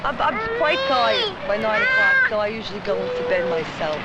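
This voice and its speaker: A woman's voice: medium pitch, wildly swooping pitch, moderate pace, over a noisy crowd. A young woman speaking plainly in a candid documentary interview as a mother, repeating her points for emphasis. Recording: dull, very noisy old newsreel film sound.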